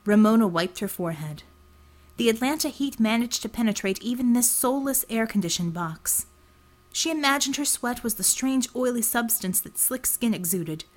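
A woman's voice: fairly high pitch, expressive, normal speed. A woman reading scripted fiction as an audiobook narrator.

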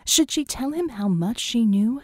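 A woman's voice: a little high, animated, measured pace, darkish tone, dry recording. A woman reading scripted fiction expressively as an audiobook narrator.

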